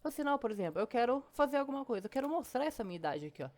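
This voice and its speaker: A woman's voice: on the high side, big pitch swings, racing, a little mellow. A woman teaching programming in a casual screen-recorded lesson, chatty and step by step, often saying "ó" and "né".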